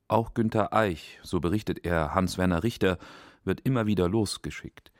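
A man's voice: sits low, normal speed. A man narrating a documentary feature from a script.

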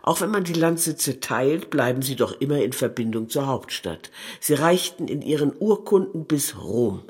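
A man with a mid-pitched, husky voice reads scripted literary narration. The tone has some rasp and sits in an ordinary male register. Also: expressive, normal speed, few pauses. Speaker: narrator reading literary prose.

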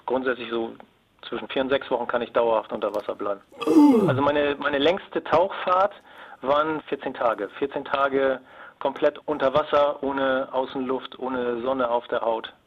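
A man with a mid-pitched voice comes through a slightly dull phone connection. He is an expert guest, explaining things in an interview. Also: moderate pace, slightly rough. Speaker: expert guest, submarine engineer and former pilot. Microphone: telephone. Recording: dull band-limited phone line.

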